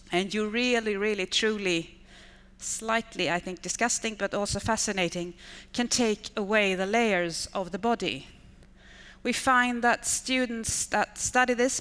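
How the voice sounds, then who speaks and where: A woman's voice: medium pitch, a bit bright, clean tone. A Swedish woman in her fifties, a conference speaker whose first language is Swedish, giving an enthusiastic talk in English and piling up repeated words like "really, really.". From Sweden. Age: 50s. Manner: enthusiastic, stacks repeated intensifiers. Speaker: conference speaker, education manager. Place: large conference hall. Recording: reverberant hall with long decay.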